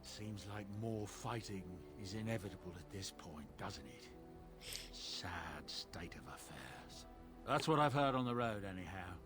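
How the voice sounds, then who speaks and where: An actor playing a wry, world-weary travelling merchant in a fantasy video game, passing on rumors in a measured, matter-of-fact way. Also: male voice, sits low, animated. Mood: grave, resigned. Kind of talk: video game dialogue acting. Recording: game dialogue with music underneath. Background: game music.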